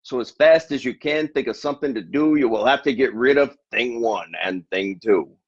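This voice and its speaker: Man putting on a panicky pet fish, high worried voice, husky edge, dull dry recording. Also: moderate pace, no echo, close to an everyday voice. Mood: fretful. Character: nervous scolding pet fish, male, fretful doomsayer.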